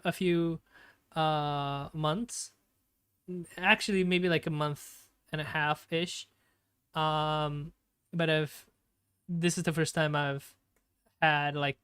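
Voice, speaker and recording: A man's voice: fairly high pitch, fairly bright tone, clean tone, no room sound, studio quality. A man streaming and chatting casually, nervous and stammering, with many fillers, on a home desktop mic. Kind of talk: casual live-stream chat. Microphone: desktop streaming mic with noise gate.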